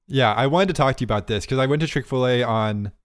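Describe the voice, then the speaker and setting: A man's voice: mid-range voice, quick pace, clean tone, no echo, studio quality. A man co-hosting a podcast in casual banter, teasing his friend, laughing often and tripping over his words. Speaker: podcast co-host.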